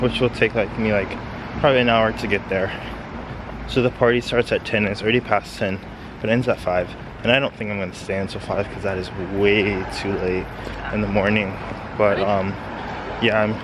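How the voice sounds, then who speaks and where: Young American man, chatty, filler-heavy, city street, traffic noise. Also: on the low side. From United States. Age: young adult. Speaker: vlogger, study-abroad student. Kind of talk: casual vlog narration.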